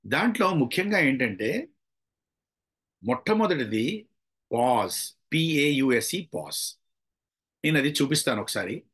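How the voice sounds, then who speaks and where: A man's voice: medium pitch, sing-song, a little slow, long pauses, fairly bright tone, breathy edge. A middle-aged man with a Telugu accent giving an informal public speaking lecture, conversational, asking rhetorical questions and dropping in English phrases. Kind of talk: informal lecture with acted examples.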